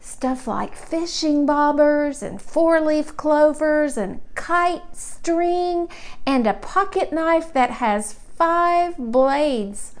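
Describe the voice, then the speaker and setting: A curious small boy from a children's storybook, as a woman reads him, speaks in a high voice. He takes things literally and puzzles over them slowly, with childlike deliberation. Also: lively intonation, full character voice. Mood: naive wondering. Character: curious, literal-minded small boy narrating about his great-uncle.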